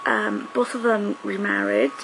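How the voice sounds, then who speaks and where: Young woman, chatty vlog, raspy fry, hissy webcam sound. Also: mid-range voice, big pitch swings, unhurried. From South Wales. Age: young adult. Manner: chatty, lots of ums and likes. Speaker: vlogger. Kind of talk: casual talking-to-camera vlog. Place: small room indoors. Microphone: webcam at close range.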